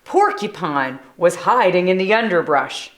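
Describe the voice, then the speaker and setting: A woman reads a children's storybook aloud. Her delivery is unhurried and very expressive, with pitch moving freely and the odd conversational aside. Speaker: storybook reader. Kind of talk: animated children's read-aloud with brief asides.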